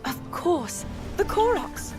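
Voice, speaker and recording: A woman playing an earnest, gentle and resolute young princess in a video game. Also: very high-pitched, very animated, takes it slowly, full character voice. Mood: earnest, curious. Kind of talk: video game cutscene voice acting. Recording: music behind the voice.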